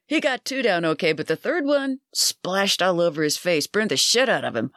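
A narrator voicing a loud, crude, pushy middle-aged barfly in a dry recording. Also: mid-range voice, lively intonation, normal speed, no room sound. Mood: leering.

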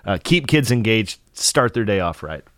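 A man with a lowish voice and a dry, close sound co-hosts a podcast. He talks casually and reflectively, appreciative, halting a little as he thinks. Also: very animated, a bit bright, dry recording. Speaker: podcast co-host.

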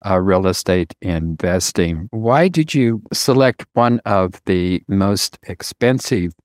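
A man's voice: sits low, animated, slow pace, a little mellow, no room sound, studio quality. A man from the United States hosting a podcast interview, upbeat and effusive. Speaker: podcast host.

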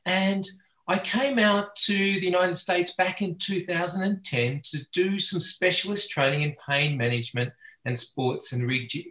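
A man's voice: a little high, fairly bright tone. Earnest Australian man in an echoey room. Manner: earnest, passionate, with small restarts. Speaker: veterinarian guest. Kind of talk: interview answers.

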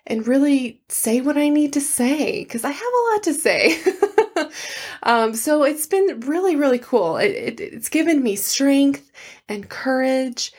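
Woman, solo podcast host, soft voice, earnest, heartfelt, lots of fillers, slightly high pitch. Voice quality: soft voice that is not loud. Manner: earnest, heartfelt, many fillers like "you know". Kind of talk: casual solo podcast talk.

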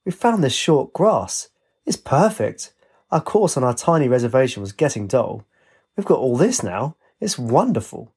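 A man's voice: medium pitch, big pitch swings, moderate pace, darkish tone, breathy edge. A man voicing a joyful, docile elderly golfer with a genteel, mild manner in a story. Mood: genteel, content.

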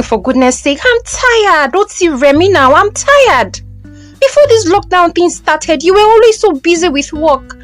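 Woman performing audio drama housewife, late twenties, Nigerian accent, high, emphatic, scolding, fed up. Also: exaggerated voice. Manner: emphatic, scolding, repeats phrases.

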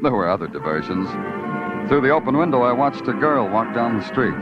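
An actor playing a wry, world-weary New York police detective in 1940s radio noir, brooding and poetic. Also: male voice, medium pitch, very animated, fairly quick, close to an everyday voice. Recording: dull old radio recording with loud music.

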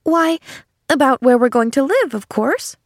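A woman voicing a bright, innocent young girl who gushes with eager joy in an audiobook. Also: sits high, lively intonation, moderate pace, no room sound, studio quality, voice pushed up. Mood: earnest, eager. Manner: gushing, breathless enthusiasm. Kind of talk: audiobook character acting.

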